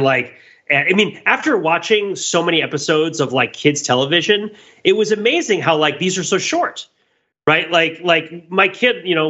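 Male podcast co-host, bright forceful voice, rambling enthusiastic explainer, slightly dull recording. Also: fairly high pitch. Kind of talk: casual analytical discussion.

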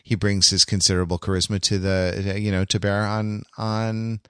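A man's voice: lowish voice, flat delivery, fairly bright tone, clean tone, no room sound. Male podcast co-host, casual film chat, searching and repetitive delivery, very dry close sound. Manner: halting, searching, repeats phrases as he thinks aloud.

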